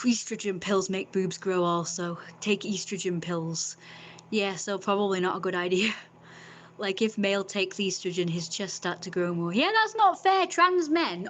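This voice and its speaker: A woman's voice: mid-range voice, slight background noise. A young woman, talking in a frank, rambling vlog monologue and swearing freely.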